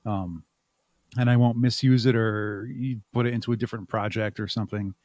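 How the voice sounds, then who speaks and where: A man talking casually and thoughtfully as an interview guest, with halting phrasing. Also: lowish voice, fairly even intonation, a little mellow, no room sound. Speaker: podcast guest, audio drama creator.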